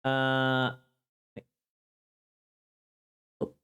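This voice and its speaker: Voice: mid-range voice, monotone, very slow, a bit bright, clean tone. A livestreamer chatting casually while working, easily distracted and prone to exclamations.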